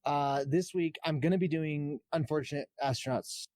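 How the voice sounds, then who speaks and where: A man's voice: a little high, normal speed, darkish tone, no echo. Casual male guest, dry close sound. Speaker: podcast guest and streamer. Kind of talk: casual chat.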